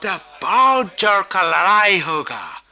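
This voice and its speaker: A man's voice: high-pitched, very animated, slow, pushed and bright, exaggerated voice. A man playing a scheming British colonial trader in a radio drama, speaking broken Hindi with an English accent and English phrases in a declamatory, persuasive way. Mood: emphatic, warning. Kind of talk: theatrical radio drama acting.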